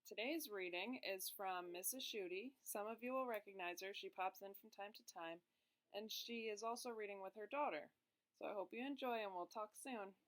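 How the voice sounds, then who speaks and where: A woman's voice: mid-range voice, moderate pace, low-quality recording. A woman casually introducing a guest reading, as a preschool teacher would.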